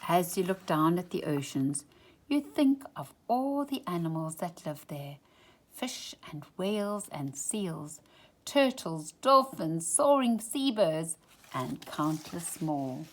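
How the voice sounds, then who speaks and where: Woman, lower voice, slow pace, very lively intonation, dramatic children's storytime read-aloud. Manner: dramatic, drops into hushed whispers for suspense. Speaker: storytime reader. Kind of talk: expressive picture-book read-aloud for children.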